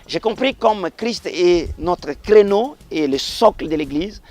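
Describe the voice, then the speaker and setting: A middle-aged pastor from the Congo Central region speaks in a fairly high voice. He talks earnestly, pushing up for emphatic points and sinking low and quiet when he blesses people. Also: expressive, unhurried. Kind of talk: formal interview remarks, preacherly.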